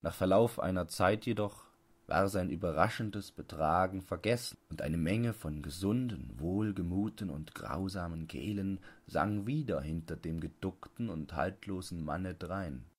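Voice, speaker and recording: A man reading literary fiction as an audiobook narrator, in a measured, deliberate bedtime-story manner. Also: deep voice, breathy edge.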